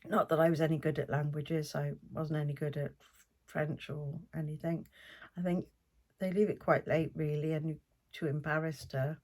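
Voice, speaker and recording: A woman's voice: sits low, little pitch movement, moderate pace, darkish tone. Chatty older South Welsh woman. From South Wales. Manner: chatty, meandering, homely. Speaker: podcast host. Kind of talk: casual podcast chat.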